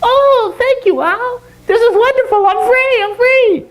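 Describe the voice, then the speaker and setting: A woman voicing a frantic, greedy little male monkey in a live-told fable, squeaky and shrill, repeating short exclamations insistently. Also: unhurried. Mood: overjoyed.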